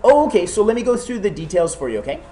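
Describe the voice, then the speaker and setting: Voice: mid-range voice, sing-song, fast talker, warm tone. A math teacher who has a cold gives a classroom lecture, often checking in with students and encouraging them.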